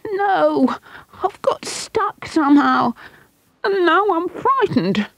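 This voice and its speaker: Young boy, terrified and whimpering, read by a woman, high and pleading, for a storybook reading. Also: expressive, measured pace, darkish tone, no room sound, rough recording. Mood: frightened pleading. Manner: pleading, panicky, close to tears. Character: frightened young boy stuck up a tree.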